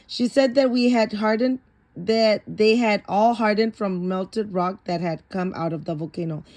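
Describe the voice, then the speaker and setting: Slow-paced woman reading a picture book aloud. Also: medium pitch, steady tone, darkish tone. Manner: stumbles over harder words and corrects herself. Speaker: story reader.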